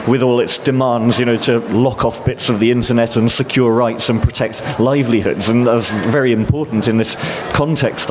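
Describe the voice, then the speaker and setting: A man moderating a literature panel, asking long, thoughtful framing questions. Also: medium pitch. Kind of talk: panel discussion. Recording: loud traffic noise behind.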